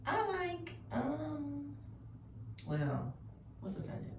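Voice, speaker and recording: A woman doing a high, strained, girlish voice in place of her own deep one, recorded in an echoey room. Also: wildly swooping pitch, extremely slow, gravelly, far from the mic, noisy background, heavily acted. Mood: playful.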